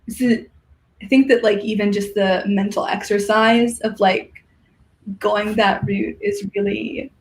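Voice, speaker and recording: A woman's voice: mid-range voice, vocal fry. A woman guest chatting casually and reflectively, searching for her words as she goes. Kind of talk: casual chat.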